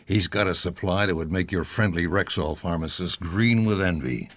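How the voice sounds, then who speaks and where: An actor playing a bitter, tough ex-cop in a radio drama, talking in a gruff, cynical, slangy way. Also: male voice, low voice, moderate pace, muffled recording. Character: bitter, tough male ex-cop, now care-home resident.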